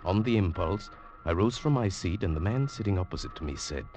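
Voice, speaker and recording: A man playing a formal, sceptical gentleman traveller who narrates an eerie 1940s radio drama. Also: sits low, brisk. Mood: haunted recollection. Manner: formal, expressive, polite but incredulous. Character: reserved, sceptical English gentleman traveller recounting an eerie tale. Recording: vintage radio drama, music behind.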